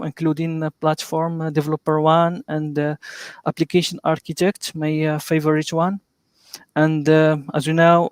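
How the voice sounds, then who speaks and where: A man from Morocco whose first language is Arabic, a guest on a live online interview, speaking haltingly with many "uh" fillers over a video call. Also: a little high, smooth, no echo.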